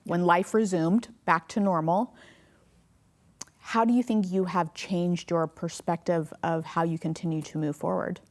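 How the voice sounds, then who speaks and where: A woman hosting a faith podcast, asking questions and affirming her guest warmly with quick agreements. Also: on the low side, steady tone. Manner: affirming, encouraging, many quick yeahs. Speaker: podcast host.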